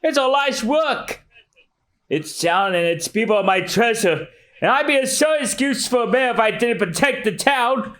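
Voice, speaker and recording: A man plays a proud old town mayor, earnest and determined. His speech is high and lively, declaimed like a comic-book hero of advanced years. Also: moderate pace, a little hiss or hum.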